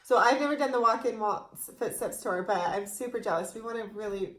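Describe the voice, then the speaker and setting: A young woman co-hosts a casual show and reads out viewer questions. Her voice is a little high. Her manner is bubbly, giggly and informal. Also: fairly quick, a bit bright, roomy sound, a little hiss or hum. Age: young adult. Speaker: co-host reading viewer questions. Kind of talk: casual chat. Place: small indoor room with banner backdrop.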